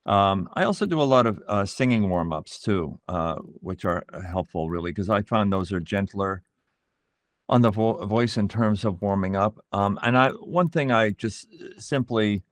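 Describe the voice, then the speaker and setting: A middle-aged man being interviewed as a voice actor, explaining things in a measured, reflective way and pausing to find his words. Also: on the low side, animated, no echo.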